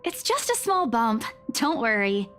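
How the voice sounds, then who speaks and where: A woman's voice: on the high side, very animated, a little slow, a bit bright. A woman voicing a spunky young woman realtor who brushes off a head bump, in a perky, upbeat manner. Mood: reassuring. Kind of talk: visual novel reading.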